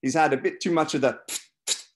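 A man voicing a brash, chatty young bass player from a novel, bossy and jovial. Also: brisk, natural voice.

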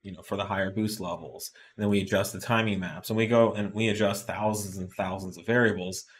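A man's voice: lowish voice, fairly even intonation, fairly quick. A middle-aged man hosting a live chat, explaining engine tuning in plain terms with many fillers and restarts, recorded in an indoor studio. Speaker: host. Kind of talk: casual technical explanation. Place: indoor studio with shop products on desk.

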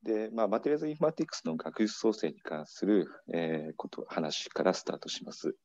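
Deep-voiced man giving a measured lecture. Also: big pitch swings, darkish tone, no room sound. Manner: measured, frequent fillers and pauses. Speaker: keynote speaker. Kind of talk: academic conference lecture. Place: indoor room, video talk over slides. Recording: dull muffled remote talk audio.

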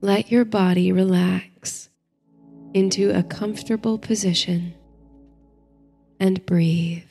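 A woman narrating a bedtime story and guided meditation in a soothing, hushed, gentle manner. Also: mid-range voice, steady tone, slow pace, creaky. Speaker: host and narrator of a sleep story series. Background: faint music.

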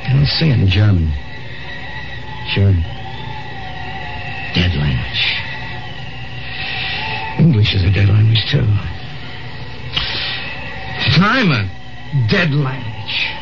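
An embittered, isolated man from the past in a vintage radio drama. His voice swings widely in pitch as he stammers theatrically. The old recording is dull, with music behind him. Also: gravelly, exaggerated voice. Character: lonely, embittered man from the past, lost in the future. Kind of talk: 1950s radio drama acting.

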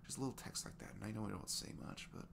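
Deep, gravelly, tender single dad, acted by a man. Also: rapid-fire, noisy background. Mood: tender, grateful. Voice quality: soft, breathy, often dropping to a whisper. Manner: hesitant, gentle, murmured. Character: sweet, earnest single dad, tender and shyly flirting. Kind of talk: intimate ASMR roleplay acting.

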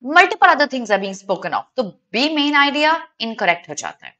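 A young Indian-accented woman works through exam answers for her online students. Her voice is fairly high and very bright, and she talks fast, putting emphatic questions to the class as she explains. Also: very animated. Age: young adult. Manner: mixes Hindi and English, addressing students directly. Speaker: exam prep teacher.

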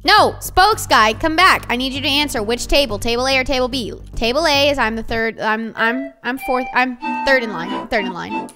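Young woman running a game, high bright voice, bossy and playful, keeps repeating herself, music under it. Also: normal speed. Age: young adult. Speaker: game host. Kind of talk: hosting a multiplayer game.

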